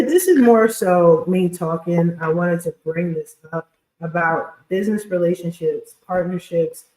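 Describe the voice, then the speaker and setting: Scratchy-voiced woman podcaster, echoey room. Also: lowish voice. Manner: informal, blunt, slangy talk. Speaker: podcast host and credit repair specialist. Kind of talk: casual chatty livestream monologue.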